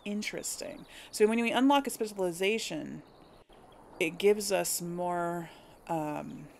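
A woman's voice: on the low side, expressive, measured pace, vocal fry, darkish tone. A woman doing casual game commentary, thinking aloud in a tentative way. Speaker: gaming video host and player. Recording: some background noise.

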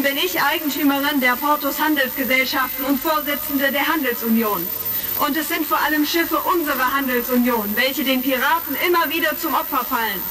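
A proud female galactic senator acted by a woman delivers formal, accusing oratory in a high voice. The sci-fi drama sounds slightly distant under vintage crackle. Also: natural voice. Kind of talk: retro sci-fi radio drama acting. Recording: crackly vintage vinyl-style recording, distant.

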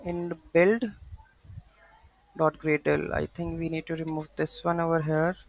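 A man presenting a software tutorial, thinking aloud haltingly as he walks through code on screen. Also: a little high, level tone, gravelly, low-quality recording. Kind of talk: unscripted screen-share coding walkthrough. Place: voice-over to a screen recording.

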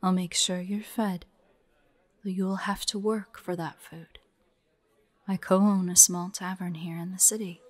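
A forlorn young huntress, played by a woman in a fantasy audio drama. Her voice is slightly low, soft and breathy. She speaks very slowly and haltingly, haunted by grief and set on revenge. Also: steady tone, dry recording. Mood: wistful, subdued. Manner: slow, halting, haunted storytelling. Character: forlorn young demon hunter woman, grieving and vengeful. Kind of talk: dramatic audio roleplay monologue.

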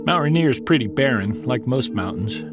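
A man playing a middle-aged Bigfoot investigator in an audio drama, reflective and measured. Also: mid-range voice, lively intonation, loud music behind the voice, close to an everyday voice. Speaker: lead character, narrator. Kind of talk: audio drama acting, scripted first-person narration.

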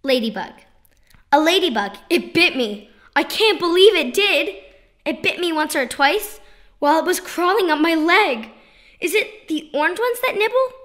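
A woman reads children's poems in a notably high voice with a bright, forward tone. Her delivery is playful and sing-song, leaning on key words with clear emphasis, as in a storybook read-aloud. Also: lively intonation, normal speed. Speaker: children's poetry author and reader.

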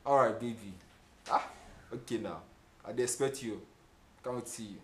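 A Nigerian man playing a brash, smooth-talking, gold-digging boyfriend in a film drama, emphatic and given to repeating himself. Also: medium pitch, moderate pace, a bit bright, slight background noise, natural voice. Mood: sweet-talking, coaxing. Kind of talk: film drama acting, mixing English and Nigerian Pidgin.

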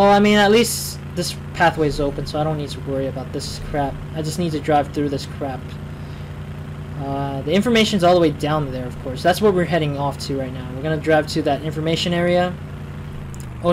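A man's voice: on the high side, slight background noise. A young man with a Chinese accent giving casual, rambling commentary while playing a game, often muttering to himself and correcting himself. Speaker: gaming channel host. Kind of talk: casual let's-play commentary.

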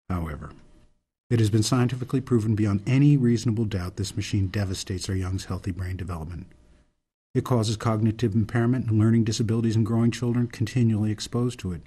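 An earnest older man played by a male actor makes a solemn speech in a radio play. His voice sits low, with a soft husky grain and a dark, mellow tone. He speaks deliberately, like a reformer stating a grave case. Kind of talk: radio drama monologue, oratorical.

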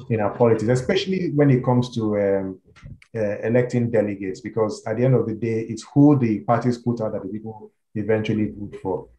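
Middle-aged male moderator, mellow tone, measured and hesitant, roomy video call sound. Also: lowish voice. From Nigeria. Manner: measured, hesitant, many fillers as he frames questions. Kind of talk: panel discussion moderating. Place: indoor room.

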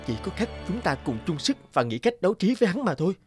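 A man's voice: high-pitched, sing-song, quick pace. A man playing a brave, earnest young husband and hero in a cartoon fairy tale, speaking with resolve. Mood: resolute. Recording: music under the voice.

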